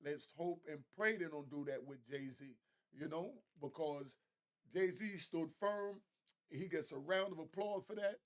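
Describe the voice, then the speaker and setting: A man's voice: fairly high pitch, a little slow. A man hosting an online show, giving casual, opinionated commentary in conversational street slang.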